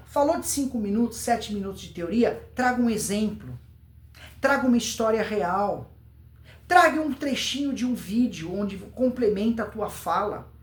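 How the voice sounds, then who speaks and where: A Brazilian man giving an informal public speaking lesson, emphatic, repeating words and answering his own questions. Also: sits high. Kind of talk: informal instructional video to camera. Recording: roomy, echoing voice recording.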